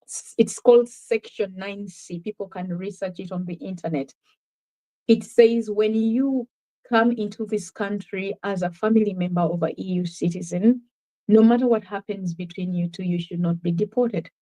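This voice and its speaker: An earnest Ugandan woman speaks in a middle-pitched voice, recounting her experiences in a reflective, conversational way. Her Ugandan accent colours the English as she answers an interviewer. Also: normal speed, mellow, rough recording. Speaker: interview guest. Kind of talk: personal testimony in conversation.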